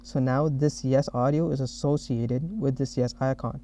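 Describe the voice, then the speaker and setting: A man narrating a software demo as the developer who made it, explaining each step. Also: mid-range voice, fairly even intonation, moderate pace, a little hiss or hum. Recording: dull, muffled lo-fi audio.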